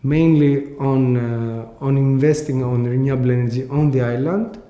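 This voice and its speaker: A man with a mellow, warm voice rambles along, often stopping on fillers. He sounds like he is in a room with a lot of echo. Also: medium pitch, steady tone, normal speed. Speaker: island cooperative member. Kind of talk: documentary interview. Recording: echoey room.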